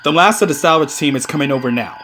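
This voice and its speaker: A woman's voice: low voice, expressive, fast, a bit bright, slight background noise. A woman playing a dutiful starship sensor officer giving reports in a sci-fi audio drama. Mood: businesslike.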